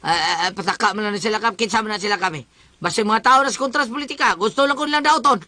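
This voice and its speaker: A man's voice: high-pitched, rapid-fire, bright, forceful tone, dry recording. A man playing a shameless, greedy village councilor in a comedy drama, with a Cebuano Filipino accent and an exclamatory, blustering delivery. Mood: indignant. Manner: exclamatory, blustering, stammering when cornered. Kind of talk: broad comedic radio drama acting.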